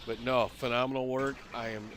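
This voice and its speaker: A man with a deep voice hosting a live concept art class, chatting and joking in a relaxed, animated way with his team. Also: fairly quick, a little hiss or hum. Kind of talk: casual group chat on a livestream.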